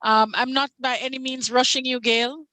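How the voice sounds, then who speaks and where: A woman moderating a virtual orientation meeting, polite and unhurried, with frequent "um" hesitations. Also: high voice, fairly even intonation, bright, forceful tone, dry recording. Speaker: session moderator. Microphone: video call.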